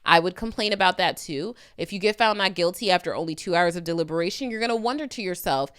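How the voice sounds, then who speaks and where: A woman's voice: on the low side, fast, fairly bright tone, smooth. A woman giving conversational legal commentary, speaking directly to the viewer in an emphatic, opinionated manner. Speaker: legal commentator hosting a video channel.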